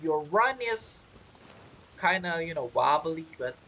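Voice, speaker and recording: A man giving casual let's play commentary as a streamer, halting and repeating his phrases as he reacts to the characters. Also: fairly high pitch, lively intonation, sharp tone, low-quality recording. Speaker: game streamer.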